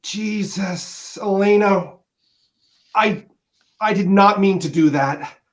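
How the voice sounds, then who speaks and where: A man acting a flustered, apologetic character in a dramatic script reading, speaking hesitantly. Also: sits high. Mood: shocked, apologetic. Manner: hesitant, halting. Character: apologetic, flustered man fussing over a woman.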